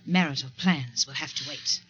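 An actress playing a cool, calculating, condescending schemer in a 1940s radio drama, speaking with poise and deliberation. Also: female voice, deep voice, moderate pace, a bit bright, husky, exaggerated voice. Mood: smug, cold. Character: cool, calculating woman scheming to marry the boss. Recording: muffled vintage 1940s radio recording.

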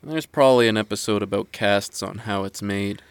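A man's voice: lowish voice, moderate pace, smooth, no room sound, natural voice. A man playing a cheeky, easygoing small-town guy in an audio drama, with a casual, teasing, folksy manner. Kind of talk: naturalistic audio drama dialogue.

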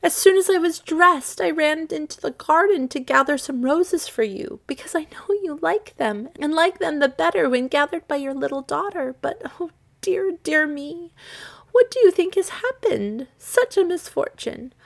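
A woman's voice: high-pitched, lively intonation, moderate pace, gravelly, pitched above the performer's own voice. A woman voicing a dutiful, dismayed little princess in a storybook, plaintive and full of exclamations. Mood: tearful, dismayed.

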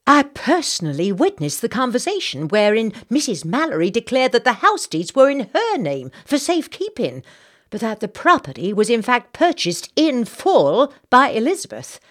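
Gossipy Southern matron, high voice, animated, bossy, fretful, indignant, audiobook character. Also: female voice, moderate pace, exaggerated voice. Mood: indignant gossip. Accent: American South. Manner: dramatic, indignant, insistent.

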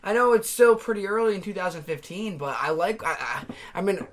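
Young male guest, somewhat high voice, enthusiastic and opinionated. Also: expressive, fairly quick, slight background noise. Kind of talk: casual podcast chat.

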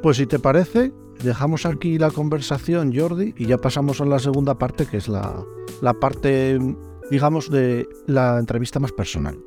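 A man's voice: medium pitch, lively intonation, normal speed, a little mellow, soft background music. A man with a northern Spanish accent hosting a podcast in casual, colloquial, slangy interview chat. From northern Spain. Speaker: podcast host.

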